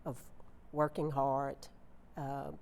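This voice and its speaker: A woman answering in a casual panel conversation, with deliberate, halting phrasing. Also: very deep voice, monotone, darkish tone. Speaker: interviewee. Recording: echoey hall with faint noise.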